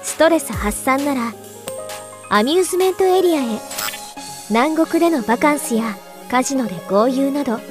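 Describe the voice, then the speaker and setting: A woman's voice: high voice, expressive, moderate pace. A woman voicing a polite female AI system announcer for a game's virtual world, speaking in polished, formal announcement style. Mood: bright, welcoming. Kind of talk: scripted promotional announcement. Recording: loud music under the voice.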